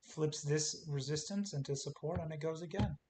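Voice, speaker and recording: A man talking casually through stock charts in short, clipped calls, his voice going hoarse as he says he is losing it. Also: fairly high pitch, normal speed, a little mellow. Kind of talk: casual screen-share chart commentary. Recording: faint hiss.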